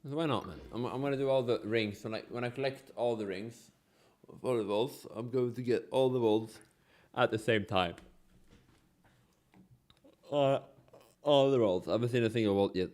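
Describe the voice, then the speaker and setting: A man's voice: medium pitch, normal speed, a little mellow. A man with a cold streaming a game, coughing and sniffling, talking half to himself and reading the game text aloud. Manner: mumbly, half to himself, sing-song repeats.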